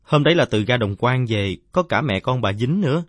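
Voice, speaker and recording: A man's voice: medium pitch, animated, a little fast, dry recording, voice pushed up, lightly acted. A man voicing a polite but persistent young boy who argues respectfully in a story. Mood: insistent.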